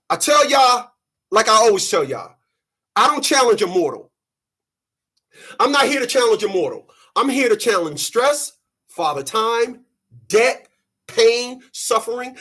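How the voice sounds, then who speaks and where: A man in his thirties, sweaty after a run, giving an animated, preachy monologue to his channel's viewers. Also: very high voice, unhurried, long pauses, breathy edge. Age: 30s. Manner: animated, preachy, mocking quoted voices. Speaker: channel host. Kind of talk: casual monologue to camera.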